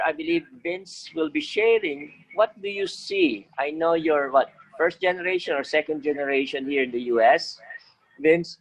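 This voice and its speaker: A man moderating an online meeting, speaking in a rallying style and putting questions to the group. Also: fairly high pitch. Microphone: video call. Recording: dull, lo-fi video call audio.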